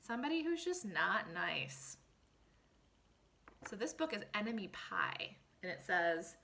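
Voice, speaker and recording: A woman reads a story aloud as a teacher would for young children. Her voice is bright and forward, with lots of melodic rise and fall. She addresses her listeners directly and puts questions to them in an expressive, animated way. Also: a little high. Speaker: teacher reading a picture book aloud.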